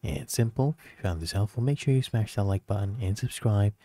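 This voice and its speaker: A man's voice: fairly low pitch, brisk, warm tone, no echo. A man narrating a casual how-to tutorial as the host, recorded as a close, dry voice-over.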